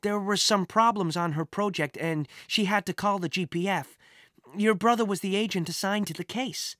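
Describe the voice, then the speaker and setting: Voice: medium pitch, moderate pace, a bit bright, no echo, studio quality, voice pushed up, natural voice. Earnest, anxious young girl, voiced by a narrator. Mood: hesitant.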